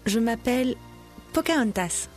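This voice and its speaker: A calm, proud young woman, as a male storyteller puts her on, gentle and soft. The voice is lifted high above his own. A storybook heroine. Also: a little slow, soft background music.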